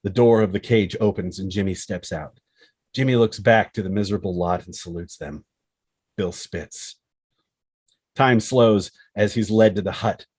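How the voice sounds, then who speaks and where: A man with a husky voice reading dramatic screenplay stage directions as narrator. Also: lowish voice, moderate pace, a little mellow.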